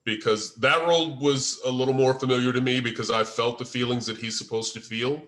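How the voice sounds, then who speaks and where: A man hosting a casual table read discussion as the writer, phrasing his thoughts in a halting, deliberate way. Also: medium pitch, fairly even intonation, a bit bright. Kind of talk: casual discussion, giving and asking for feedback.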